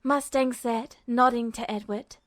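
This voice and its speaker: A woman reading fanfiction aloud as podfic narration in a steady, even manner. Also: a little high, unhurried, no echo, clean studio sound. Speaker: fanfiction reader.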